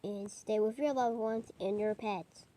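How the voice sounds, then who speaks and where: A woman reading a homemade mock emergency broadcast in a halting, improvised way with stumbles. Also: mid-range voice, steady tone, heavy background noise. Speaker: narrator of a homemade emergency alert scenario.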